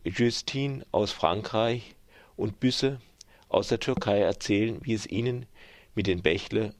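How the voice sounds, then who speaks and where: A man giving scripted narration in an even, announcing manner. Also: fairly low pitch, no room sound.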